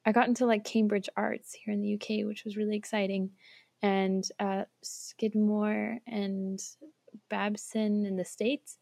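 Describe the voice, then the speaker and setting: A young woman from Switzerland, a guest telling her story in a casual chat over an online call, laughing often and filling pauses with ums. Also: mid-range voice, flat delivery, gravelly, darkish tone, no room sound. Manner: chatty, laughs often, lots of fillers. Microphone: remote online call recording.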